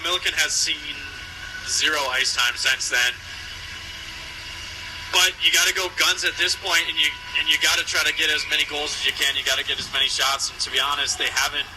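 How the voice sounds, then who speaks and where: A man's voice: on the high side, background noise. A man giving conversational color commentary and analysis during a live hockey broadcast on radio.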